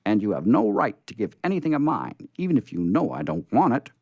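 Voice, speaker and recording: A man's voice: lowish voice, sing-song, brisk, a little mellow, dry recording, dull audio. A man voicing a stern but easily amazed farm woman in an old storybook, speaking emphatically. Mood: scolding.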